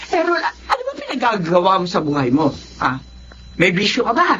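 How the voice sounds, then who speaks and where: A woman's voice: medium pitch, huge pitch swings, a little fast, noisy background. An actress playing a stern, upright, long-suffering middle-aged mother in a Filipino radio drama, scolding and emphatic. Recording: muffled lo-fi audio with music behind.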